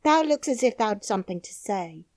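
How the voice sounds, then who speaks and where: Woman playing a young Yorkshire housemaid, very high, loud, agitated, storybook. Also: animated, moderate pace, dry recording, natural voice. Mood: curious. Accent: Yorkshire dialect.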